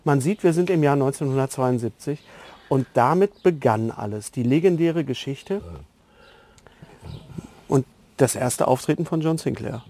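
A man's voice: mid-range voice, normal speed, pauses often, dark tone. A man hosting an interview, presenting things in a casual, conversational way. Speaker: podcast interviewer.

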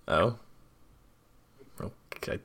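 A man's voice: drawn-out words, fairly bright tone. A man co-hosting a podcast, guessing at trivia with a puzzled, chuckling manner. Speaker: podcast co-host. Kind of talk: casual chat.

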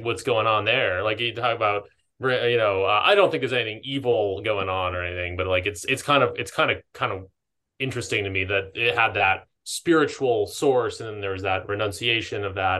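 A man's voice: fairly low pitch, bright, forceful tone. A man chatting casually as a podcast co-host, hesitant and rambling as he keeps interrupting himself.

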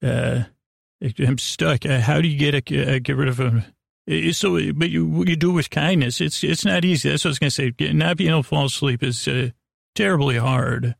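A man with a creaky, gravelly voice hosting a bedtime podcast in a meandering, halting, lulling monologue that repeats itself and trails off. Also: on the high side, steady tone, dry recording, clean studio sound. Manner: lulling, soothing delivery. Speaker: podcast host. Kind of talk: rambling bedtime storytelling monologue.

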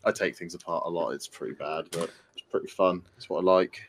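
A man's voice: low-pitched, fairly even intonation, a little fast, a little hiss or hum. A young British man co-hosting a podcast, explaining gadgets in a casual, keen way. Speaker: podcast co-host. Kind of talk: casual tech chat.

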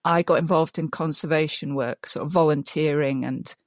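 A woman's voice: low-pitched, steady tone, normal speed, no room sound. Enthusiastic woman, dry close sound. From Yorkshire, England. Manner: enthusiastic, restarts often, many ums. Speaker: guest, mud mason. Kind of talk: casual interview chat.

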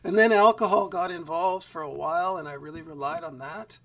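A man talking as a guest musician in a relaxed interview over a video call, in a quiet, even speaking voice. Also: on the high side, a little fast, recorded from a distance. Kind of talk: casual interview chat. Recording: voice set back from the mic.

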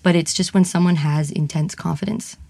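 Woman, low and gravelly, frank interviewee, laughs easily, dry sound. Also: steady tone, a little fast, a little mellow, no room sound. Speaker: burlesque singer, interviewee. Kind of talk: candid interview conversation.

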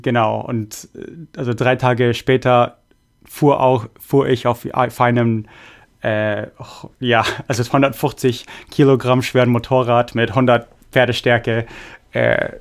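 A young man hosting a podcast, reading listener messages in a relaxed, halting way with many fillers. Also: medium pitch. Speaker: podcast host. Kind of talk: casual podcast chat reading listener mail.

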